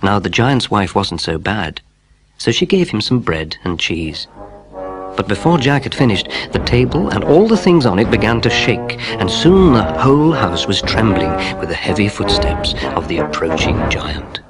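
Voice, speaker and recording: A man with a fairly low voice narrates a children's storybook. His scripted delivery is expressive and lively in pitch, with clear music behind him. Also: moderate pace. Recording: music playing underneath.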